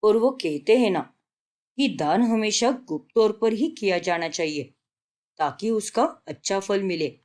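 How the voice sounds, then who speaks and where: A woman voicing an elderly grandmother in a family story, patient and principled, gently admonishing as she moralizes. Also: mid-range voice, expressive, unhurried, natural voice.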